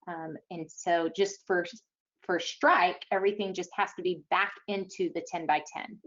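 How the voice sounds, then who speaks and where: A woman's voice: fairly low pitch, steady tone, moderate pace, fairly bright tone. Woman explaining rules, slightly dull webinar audio. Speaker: contest official answering questions.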